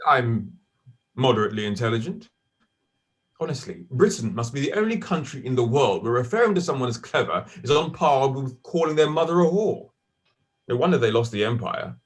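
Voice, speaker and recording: A man gives voice to a well-spoken young man with precise, cutting diction and a cool, superior wit. He sits at a middling pitch for a man. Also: expressive, fairly quick, close to an everyday voice. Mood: dry. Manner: precise, dry, condescending. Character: posh, articulate young man, dry and superior. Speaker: actor in a stage play. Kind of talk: audio drama acting.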